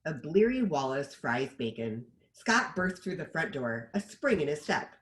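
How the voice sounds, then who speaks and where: A man's voice: on the high side, big pitch swings, a little slow, a bit bright, low-quality recording. A man narrating scripted stage directions as the narrator of a table read.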